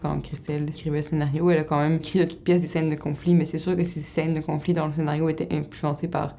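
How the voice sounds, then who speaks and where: A woman's voice: deep voice, little pitch movement, fast talker, vocal fry, dark tone. A woman workshop facilitator explaining the process in a matter-of-fact way in a documentary interview.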